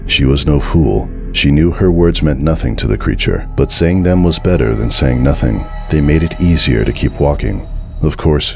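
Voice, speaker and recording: A man's voice: a bass voice, a little mellow. A man narrating a horror story as a dramatic reading.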